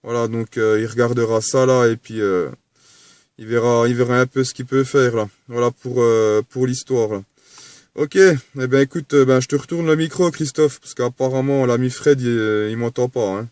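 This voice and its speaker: A man making casual conversation as a radio operator, halting and repetitive, often ending phrases with little tags. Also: mid-range voice, fairly even intonation, darkish tone, clear, resonant tone, no echo. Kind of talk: casual radio chat with signal reports.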